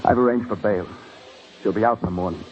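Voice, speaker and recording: An actor playing an intense, anguished police detective with an urgent, clipped manner in an old radio crime drama. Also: male voice, on the low side, fast talker, music in the background, close to an everyday voice. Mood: tender, weary. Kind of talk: mid-century radio crime drama acting. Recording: muffled, hissy old radio recording.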